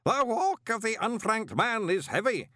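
A man voicing a pompous ceremonial lodge master in an audiobook, intoning solemnly in a booming voice. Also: wildly swooping pitch, slow pace, a bit bright, dry recording, clean studio sound, heavily acted. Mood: demanding, intoning. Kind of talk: audiobook character voice.

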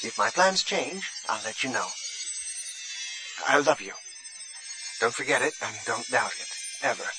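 Man as young woman, audiobook figure, playful, tender, soft, swooping pitch, noisy background. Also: animated, a little slow, pauses often, lightly acted. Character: affectionate, playful young woman, pilot's wife, as hologram message.

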